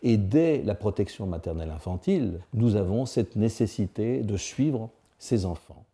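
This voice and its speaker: A man's voice: fairly low pitch, animated, normal speed, dark tone. A man speaking as a local official, answering in an interview.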